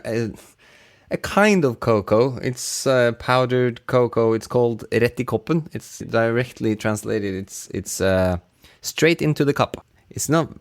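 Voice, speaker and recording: A man working as a sound designer, chatting casually and haltingly, often repeating his words. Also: mid-range voice, animated. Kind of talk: casual chat.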